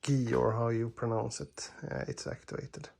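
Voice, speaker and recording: Hesitant man with a gravelly voice. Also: medium pitch, flat delivery, moderate pace, darkish tone. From Sweden. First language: Swedish. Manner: hesitant, many fillers and restarts, apologetic. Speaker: TV reviewer. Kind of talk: casual product demo narration. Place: dark living room at home.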